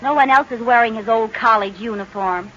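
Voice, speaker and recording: An actress playing an eager, scatterbrained American housewife in an old-time radio comedy. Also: female voice, on the high side, fairly quick, bright, forceful tone. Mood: breezy, teasing. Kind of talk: 1940s-50s radio comedy acting. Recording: noisy, muffled old radio recording.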